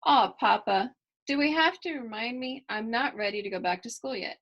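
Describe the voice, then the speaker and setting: A woman's voice: a little high, moderate pace, fairly bright tone, dull audio. Woman playing an eager little storybook girl. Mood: reluctant. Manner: eager, pleading, girlish. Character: excitable young girl Kylie, loving granddaughter, storybook narrator.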